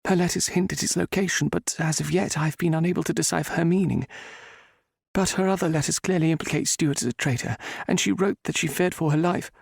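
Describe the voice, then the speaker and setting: A man voicing a young noblewoman, speaking higher and tensely. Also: fairly even intonation, a little fast, gravelly, husky, dry recording, studio quality. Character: frightened young noblewoman heroine.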